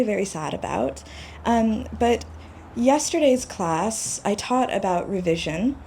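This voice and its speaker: A woman's voice: mid-range voice, gravelly, dark tone, a little hiss or hum. A woman who teaches creative writing, speaking earnestly and thoughtfully to a gathering in a home. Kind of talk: casual spoken reflection to a live gathering.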